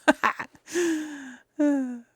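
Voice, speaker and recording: A woman's voice: sits high, fairly even intonation, extremely slow, no room sound. A woman giving casual let's play commentary, thinking aloud as she goes. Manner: thinking aloud, reading quest text aloud.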